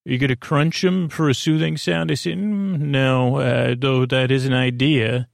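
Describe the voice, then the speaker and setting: A man hosting a bedtime podcast in a creaky, lulling voice, meandering hesitantly through tangents. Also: medium pitch, fairly even intonation, normal speed, no room sound, clean studio sound. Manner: soothing, lulling delivery. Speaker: podcast host. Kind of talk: rambling bedtime sleep podcast monologue. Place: closet at home.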